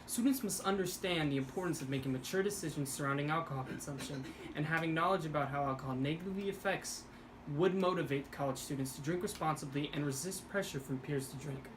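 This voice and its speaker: A young woman student reading her part of a class presentation in a measured way. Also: low-pitched, background noise. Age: young adult.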